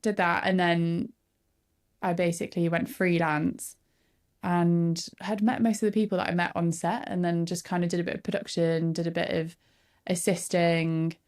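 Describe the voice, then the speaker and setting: A woman's voice: lowish voice, level tone, normal speed, a little mellow. A woman, chatting casually as a guest and laughing often. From northern England. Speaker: photographer guest. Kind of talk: casual podcast interview.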